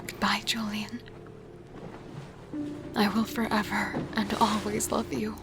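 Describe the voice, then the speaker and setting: A woman playing a remorseful, tender serial killer, narrating a horror audio drama in a soft, intimate, partly whispered delivery. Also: medium pitch, level tone, slow, gravelly. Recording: loud music bed.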